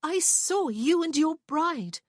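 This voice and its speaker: A woman's voice: on the high side, lively intonation, normal speed, breathy edge, dry recording, studio quality, pitched above the performer's own voice. A woman voicing an anxious young lady in love who speaks tenderly and breathily. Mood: distraught.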